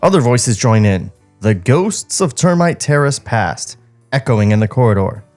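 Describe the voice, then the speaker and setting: Podcast guy doing a script table read, middling pitch, faint music behind him. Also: big pitch swings, a little slow, a little mellow. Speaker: podcast co-host reading stage directions.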